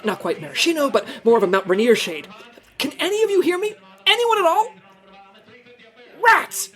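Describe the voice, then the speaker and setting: A man acting as an earnest, squeaky-clean special agent in a comedic audio drama, fussy and stammering as he mutters to himself. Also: lively intonation, normal speed, a bit bright. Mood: frantic.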